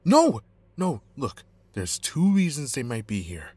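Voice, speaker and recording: Man playing cornered male informant, anxious, urgent, husky, animated pitch, audio roleplay. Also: measured pace, no room sound. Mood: panicked, urgent. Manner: urgent, explaining. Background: music.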